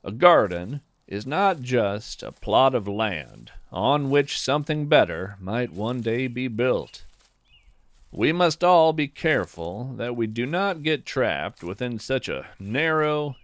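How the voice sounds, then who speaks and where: A man reading his own reflective, philosophical podcast essay in a calm, measured way. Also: mid-range voice, dry recording. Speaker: podcast host and writer. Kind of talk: scripted reflective narration.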